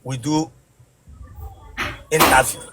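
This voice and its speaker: A man hosting a comic street interview, teasing passers-by with quick-fire questions. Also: mid-range voice, fairly even intonation, background noise. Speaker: street interviewer and comedian host.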